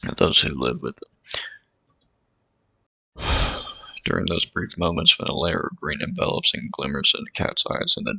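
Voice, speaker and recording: A man's voice: very deep voice, gravelly, sharp tone, husky, dry recording, heavily acted. A man reading as a driven young inventor. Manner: reads the story text aloud in first person. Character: determined young software inventor, cat owner. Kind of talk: reading visual novel dialogue aloud. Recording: dull lo-fi audio with faint game music.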